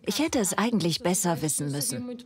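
Actress as female tile preserver, documentary interview voice-over, slightly low pitch, audible chatter behind. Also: lively intonation, brisk, close to an everyday voice. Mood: reflective. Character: female Portuguese tile preserver telling of her work.

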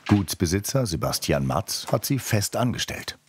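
Male TV narrator, very low voice with a lively melodic range, scripted and matter-of-fact, with steady noise under the recording. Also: normal speed. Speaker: documentary narrator.

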